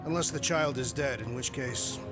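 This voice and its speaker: A man voicing a huge, solemn, literal-minded alien warrior in a video game, speaking formally and bluntly in a deadpan manner. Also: mid-range voice, moderate pace, music in the background, lightly acted. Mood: gloating, blunt. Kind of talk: video game character acting.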